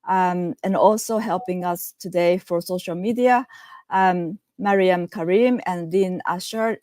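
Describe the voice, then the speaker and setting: A woman with a somewhat low voice opens an event with thanks to sponsors and helpers. She is hesitant and fills her pauses with ums, and the sound is dry and close. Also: a little mellow, dry recording. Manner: hesitant, many fillers. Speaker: co-moderator. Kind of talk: opening announcements.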